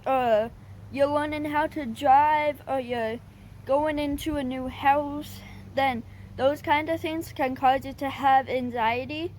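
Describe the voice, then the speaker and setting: A woman vlogger giving casual advice, talking in a halting, hesitant way with stutters and restarts. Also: high voice, a little hiss or hum. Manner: hesitant and plain-spoken.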